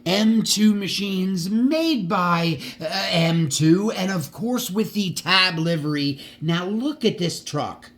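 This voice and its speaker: A man's voice: sits high, expressive, fairly bright tone. A man presenting his model car collection in a casual vlog, enthusiastic, with frequent restarts and a slight stutter. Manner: halting, repeats words and restarts often; stutters at times. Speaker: collector showing diecast models.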